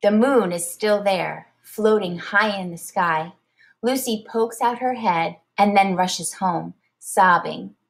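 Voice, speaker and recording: A woman reading a children's storybook aloud like a teacher, expressive and deliberate. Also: medium pitch.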